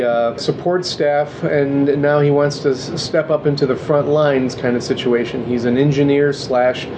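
A man's voice: mid-range voice, background noise. A man informally introducing the character he plays in a tabletop game. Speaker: tabletop roleplaying player.

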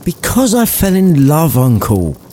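A cheerful young nephew, earnest and pleading, in a voice pushed well up in pitch, as a man puts him on. The tone stays mellow with a lively, bouncing melody. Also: a little slow, slight background noise.

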